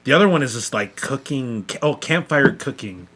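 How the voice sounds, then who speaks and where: A man's voice: medium pitch, expressive, normal speed, fairly bright tone, slightly rough. A man in casual, rambling podcast conversation as a co-host, often repeating his words. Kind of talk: casual chat about light novels.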